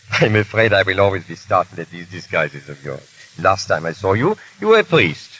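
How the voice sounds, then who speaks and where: An actor playing a loyal, anxious French patriot in a 1930s radio drama, with an excitable, emphatic delivery. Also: male voice, deep voice, moderate pace, slightly rough, heavily acted, rough recording. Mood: confiding. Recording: hissy 1930s radio broadcast.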